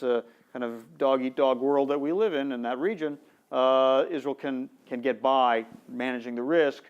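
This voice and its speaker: A man with a smooth, resonant voice talks in a measured, diplomatic way on a conference panel. He pauses on frequent fillers while building long sentences, and the sound is a bit dull. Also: medium pitch, a little mellow. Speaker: former ambassador, panelist. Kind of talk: panel discussion. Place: conference room.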